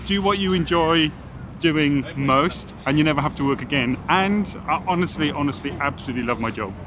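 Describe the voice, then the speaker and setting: Welsh guy w a bit of geordie, fashion stylist chatting on the street, phone recording, loud traffic behind. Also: a little high, fairly quick. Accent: Welsh with a Geordie tinge. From Wales. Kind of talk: casual on-the-street chat. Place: outdoors on a city street. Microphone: phone camera held by the other person.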